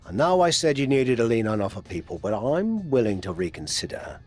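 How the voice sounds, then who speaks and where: A veteran wrestler turned mentor, middle-aged and proud. His delivery is animated, frank and teasing, swinging freely in pitch. It is video game cutscene drama with faint music underneath. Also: fairly quick. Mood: determined, persuading. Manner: frank, coaxing, wry.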